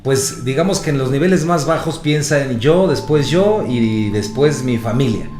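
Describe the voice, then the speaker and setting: A man's voice: medium pitch, expressive, moderate pace, roomy sound, over music. A man, co-hosting a casual panel talk, speaking in a thoughtful, hesitant way. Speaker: podcast co-host.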